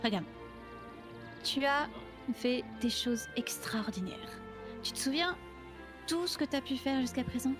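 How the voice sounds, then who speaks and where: A woman playing a gentle, supportive friend in an improvised game roleplay scene, reflective and encouraging. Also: sits high, expressive, unhurried, long pauses, music in the background.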